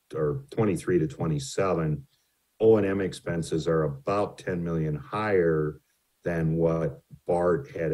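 Man, very low voice, dark tone, hesitant presenter, many ums. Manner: says his voice tends to carry. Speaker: utility rate consultant presenting to a city. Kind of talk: formal presentation with slides.

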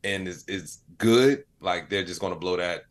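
A man's voice: low-pitched, normal speed. A man speaking as an interview guest in casual, reflective conversation, peppering his talk with "like" and "you know". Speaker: podcast guest, comedian.

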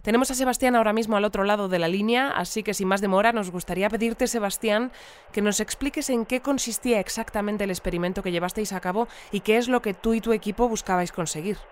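A woman playing a composed presenter of a futuristic community radio bulletin, speaking in a formal, measured newsreader manner. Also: medium pitch, a little hiss or hum, close to an everyday voice. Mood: composed, informative. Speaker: news presenter. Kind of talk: scripted radio news bulletin.

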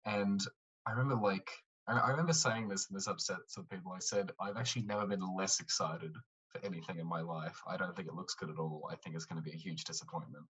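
A man co-hosting a casual chat with a rough, husky voice, halting and repeating himself, picked up from a distance. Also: deep voice, fairly even intonation, fairly bright tone. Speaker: podcast co-host. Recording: distant, off-mic voice.

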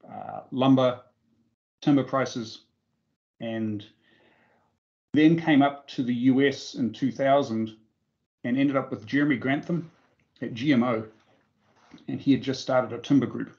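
A man's voice: mid-range voice, measured pace, gravelly, long pauses, a little mellow. New Zealand man, slightly muffled recording. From Auckland, New Zealand. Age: middle-aged. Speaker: interview guest, forestry investment professional. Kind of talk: conversational interview answers.

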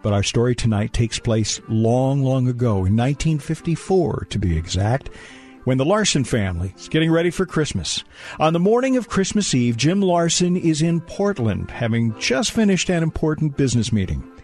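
A man's voice: medium pitch, sing-song, normal speed, a little mellow, faint music behind. A man announcing a radio drama in a formal, old-time broadcaster style, reading a scripted introduction.

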